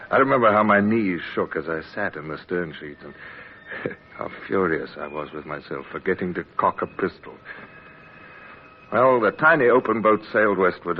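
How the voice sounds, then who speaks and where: A man's voice: deep voice, expressive, normal speed. An actor playing an older naval officer reflectively narrating his own youth in a radio drama. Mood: amused, reminiscing. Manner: reflective, amused. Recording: muffled noisy radio drama recording.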